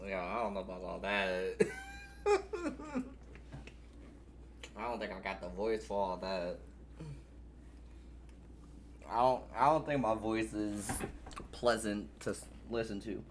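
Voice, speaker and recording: Man, live streamer, casual chat, playful, laughs a lot, very animated pitch, slow delivery. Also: slight background noise. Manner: laughs often, playful and joking.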